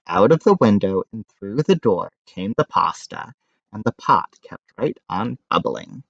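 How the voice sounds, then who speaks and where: A man giving an animated, expressive read-aloud of a children's picture book, with informal asides. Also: on the low side, unhurried, vocal fry, no room sound, muffled recording.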